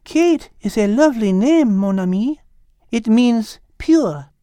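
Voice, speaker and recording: A man's voice: very high-pitched, lively intonation, slow pace, mellow, clear, resonant tone, no room sound, clean studio sound, pitched above the performer's own voice, exaggerated voice. A man voicing a refined, knowledgeable female cat with a French accent and precise, formal wording, in an audiobook. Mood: gracious. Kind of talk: audiobook character acting.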